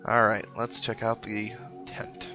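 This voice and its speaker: A man's voice: on the low side, wildly swooping pitch, measured pace, lo-fi sound, over music. A man hosting a video game playthrough, giving casual commentary with wry, sarcastic asides. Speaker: gamer host of a let's play. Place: indoor recording with game music behind.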